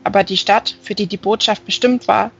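A woman voicing a gentle, learned male fallen angel in an audiobook, earnestly explaining scripture. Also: medium pitch, fairly even intonation, moderate pace, gravelly, lo-fi sound.

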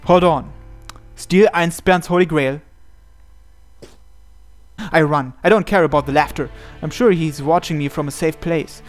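Higher-pitched man narrating a visual novel. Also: unhurried, pauses often. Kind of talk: dramatic scripted narration. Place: indoors, voice-over on game playthrough. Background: game music.

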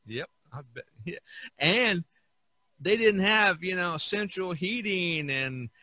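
A man hosting a podcast in casual chat, speaking haltingly and repeating words as he searches for phrasing. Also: high-pitched, expressive, sharp tone, no echo, low-quality recording. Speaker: podcast host.

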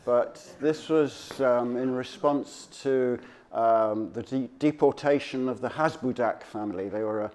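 A man speaking as a local history presenter, giving an informal slide talk to an audience in a halting, conversational, off-the-cuff way. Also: mid-range voice, a little mellow.

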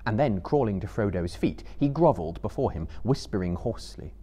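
A man in his thirties giving a measured, theatrical literary narration as a live dramatic reader. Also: on the low side, expressive, mellow, breathy edge. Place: seated indoors on a book-lined stage before a live audience.

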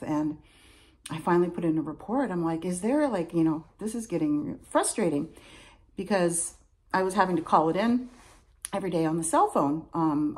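A middle-aged woman with a lowish voice talks casually into a phone propped on her kitchen table. She rambles and circles back on herself as she explains her daily routine. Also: a little mellow. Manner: chatty, rambling, self-interrupting. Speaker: lighthouse keeper. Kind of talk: casual vlog chat to camera. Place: home kitchen indoors. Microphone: phone camera on a table.

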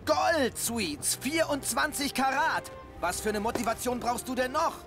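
A man's voice: extremely high pitch, lively intonation, a little slow, over music, heavily acted. A man voicing a brash, cocky, dim young soldier hungry for gold in a German-dubbed video game cutscene. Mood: eager, cocky. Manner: brash, slangy, flippant.

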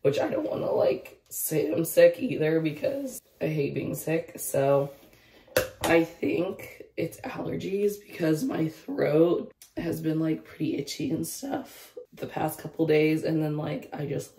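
Hoarse twenty-something girl rambling to her camera at arm's length, lowish voice, bit of background hiss. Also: expressive, unhurried, dark tone, roomy sound, recorded from a distance. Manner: rambling, lots of "like" and "I don't know". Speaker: vlogger. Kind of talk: casual vlog chat. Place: car, bedroom and outdoor park. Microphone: phone or camera held at arm's length.

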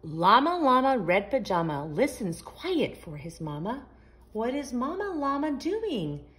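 Female storytime host for young kids, lively swooping delivery at an unhurried pace, sing-song read-aloud. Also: a little high. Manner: sing-song, asks the young listeners questions. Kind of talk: expressive read-aloud of a picture book for young children.

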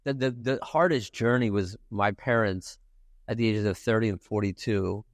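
A man's voice: fairly low pitch, normal speed, no room sound, clean studio sound. A man in his forties from Ukraine, Russian his first language, answering questions earnestly as a podcast guest in an office. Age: 40s. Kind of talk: casual interview. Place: bright office with a lounge set.

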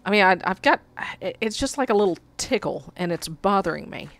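A woman's voice: medium pitch, expressive, brisk, a bit bright, a little hiss or hum. A woman with a cold playing a video game, reading the quest text aloud and swearing at her mishaps as she plays. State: has a cold, sucking a cough drop. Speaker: let's play gamer. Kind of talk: casual gameplay commentary.

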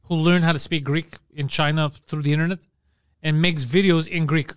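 A man's voice: on the high side, normal speed, clear, resonant tone, dry recording. A man in his forties co-hosting a podcast, chatting and teasing in a small studio on a studio mic. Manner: animated, teasing, swears freely. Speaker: podcast co-host. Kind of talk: casual comedic banter. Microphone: studio mic on boom, headphones.

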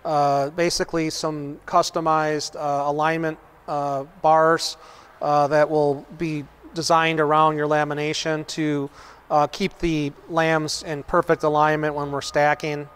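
A man's voice: a little high, level tone, unhurried, fairly bright tone, smooth. Middle-aged man touring a machine shop. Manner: frequent "uh" and "um" fillers, matter-of-fact. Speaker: company manager giving a shop tour. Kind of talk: explaining processes on a factory tour. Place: large industrial machine shop, indoors.

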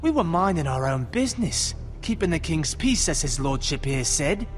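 An actor playing an aggrieved soldier in a fantasy video game, accusing and self-justifying. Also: male voice, fairly high pitch, big pitch swings, moderate pace, faint music behind. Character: aggrieved soldier serving a hostile lord. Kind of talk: dramatic video game acting.

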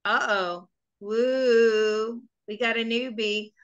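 A woman hosting a live call-in show as a psychic reader, talking in an animated, coaxing way and laughing often. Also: fairly high pitch, extremely slow, smooth, dull audio.

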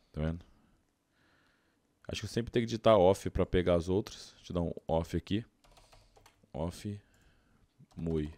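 Casting: Brazilian male, low register, dry close-miked sound, informal slangy game commentary. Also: mellow, dry recording. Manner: informal, slangy, thinking aloud. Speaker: gaming YouTuber. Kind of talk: casual gameplay commentary.

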